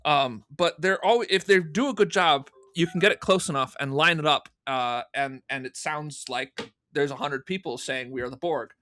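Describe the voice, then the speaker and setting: A man's voice: on the high side, moderate pace, bright, forceful tone, slight background noise. A man speaking as a podcast guest, explaining his production work in a casual, conversational way. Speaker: interview guest, fan audio drama producer.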